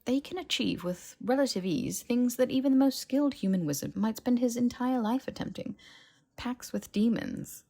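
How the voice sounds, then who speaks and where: Casting: female reader as a keen, articulate young witch who explains precisely, pitched a bit high, fantasy audiobook. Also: lively intonation, moderate pace, vocal fry, dark tone. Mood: eager, lecturing. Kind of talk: audiobook character dialogue.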